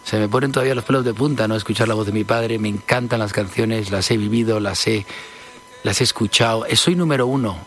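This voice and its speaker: Gravelly-voiced man in his fifties. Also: lowish voice, fast, a bit bright. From Spain. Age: 50s. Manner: hesitant, repeats words often. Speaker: singer, interview guest. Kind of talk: casual interview talk.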